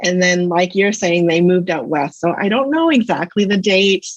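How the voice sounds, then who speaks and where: A woman's voice: lowish voice, normal speed, dry recording. A woman in her thirties from Canada, a psychology researcher talking through a casual interview, thoughtful and hesitant with many fillers. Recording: dull lo-fi sound, dry.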